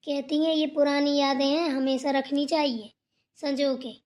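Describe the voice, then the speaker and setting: A high-pitched elder sister-in-law in a family cartoon, played by a woman. She speaks like the sensible one of the household, admonishing and instructing. Her manner is firm and scolding. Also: level tone, normal speed, a little mellow, close to an everyday voice. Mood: cautioning. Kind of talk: cartoon family drama dialogue.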